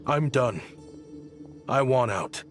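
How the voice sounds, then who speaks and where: An actor playing a remorseful, weary weapons designer in a video game, speaking in a solemn, confessional manner. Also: male voice, medium pitch, expressive, takes it slowly. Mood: fed up. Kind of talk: dramatic video game voice acting. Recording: long hall reverb, clear music behind.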